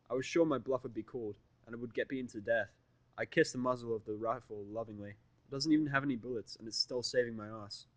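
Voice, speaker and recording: Male amateur-fiction narrator, middle pitch, dry recording, dramatic first-person storytelling. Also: no echo.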